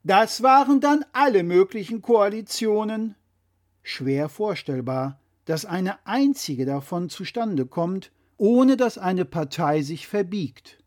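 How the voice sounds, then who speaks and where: Guy with a kinda high voice reading out a scripted explainer, didactic and critical. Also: lively intonation, slow, darkish tone. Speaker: presenter.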